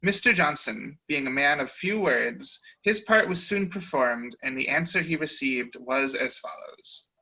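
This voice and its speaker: A man narrating a dramatic reading over a video call with a measured, wry delivery. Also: mid-range voice, animated, creaky, sharp tone, rough recording.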